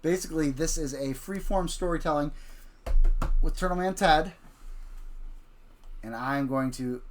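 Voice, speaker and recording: Low-voiced woman prompting a kid's story. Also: a little slow, slight background noise. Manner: prompting, questioning, recapping the child's ideas. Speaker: host. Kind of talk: casual livestream storytelling.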